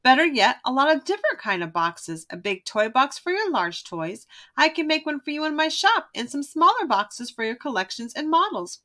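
A woman's voice: high voice, big pitch swings, moderate pace, hardly any pauses, sharp tone, full character voice. A woman voicing a firm, fair-minded father bear who reasons emphatically in a storybook. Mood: enthusiastic.

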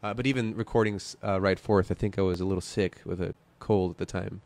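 Laid-back low-voiced man, quick to laugh. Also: fairly even intonation, darkish tone, no echo. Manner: laid-back, laughs often, fills with "you know". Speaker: band vocalist guest. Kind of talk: casual podcast chat. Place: small studio room. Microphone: desk mic with headphones.

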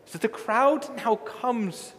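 A man's voice: very high-pitched, slow, slight background noise. A middle-aged man preaching a sermon as a pastor, emphatic and repeating phrases as he swells and falls in volume. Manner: emphatic, repetitive phrasing, swelling loud then dropping soft. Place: large church sanctuary, indoors. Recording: long church reverb.

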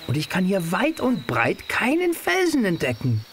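A man's voice: high voice, huge pitch swings, normal speed, a bit bright, a little hiss or hum, heavily acted. A man playing an eager, insistent teenage boy in an audio drama, speaking in a casual, pressing way.

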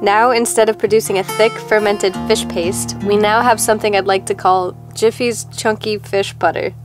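Reflective female voice-over, medium pitch, music-heavy mix. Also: normal speed. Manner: calm and reflective. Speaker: vlog narrator. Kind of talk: scripted reflective narration.

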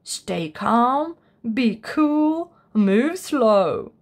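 A woman's voice: fairly high pitch, sing-song, very slow, exaggerated voice. A woman voicing a sheepish, apologetic male badger in a children's storybook, meek and eager to please.

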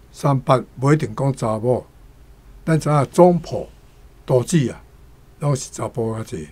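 A man with a Taiwanese accent explains expressions as a guest teacher. His delivery is slow and his pitch is average. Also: darkish tone. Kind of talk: explanatory commentary.

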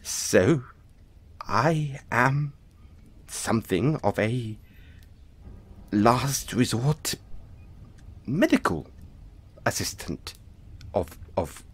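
A man playing an anxious, apologetic dollmaker in an audio roleplay, stammering and halting as he speaks to the listener. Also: mid-range voice, animated, husky, heavily acted. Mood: nervous, hesitant. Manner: stammering, halting, flustered, placating. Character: anxious, apologetic male dollmaker who dabbles in soul magic.

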